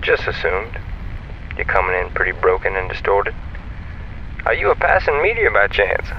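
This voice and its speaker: A man's voice: on the low side, sing-song, moderate pace, dull audio, a little hiss or hum. An actor playing a folksy, flirtatious spaceman on a radio channel in a sci-fi audio drama, easygoing and teasing. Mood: curious, easygoing.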